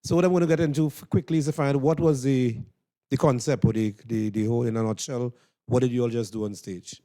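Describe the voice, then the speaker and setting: Male interviewer, Trinidadian, soft-edged mid-range voice, conversational and rambling, dry close sound. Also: mid-range voice, fairly even intonation, fast talker, dry recording. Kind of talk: casual backstage interview. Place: interview room just off stage.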